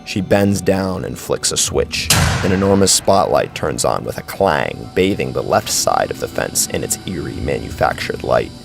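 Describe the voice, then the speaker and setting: A man's voice: very deep voice, very animated, moderate pace, gravelly, hardly any pauses. A man narrating a scripted horror story as a brooding, dramatic storyteller. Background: faint music.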